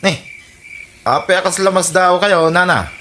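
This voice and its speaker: A man's voice: high-pitched, unhurried, pushed and bright, slight background noise. A man with an Ilocano accent playing a sheepish but stubborn young suitor in a radio comedy drama.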